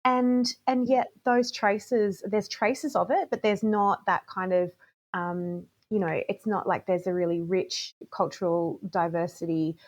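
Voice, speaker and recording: A woman hosts a casual podcast conversation over a video call. Her voice sits at an ordinary middle pitch. She muses aloud, circling back and restarting as she works toward a question. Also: fairly even intonation, unhurried. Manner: thoughtful, rambling with restarts. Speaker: podcast host.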